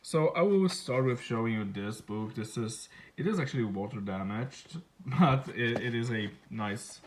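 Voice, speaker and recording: Man, slow, hesitant, lots of fillers, low-pitched, casual vlog talk. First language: Polish. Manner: hesitant, many fillers and restarts. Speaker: book collector vlogger. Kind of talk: casual show-and-tell.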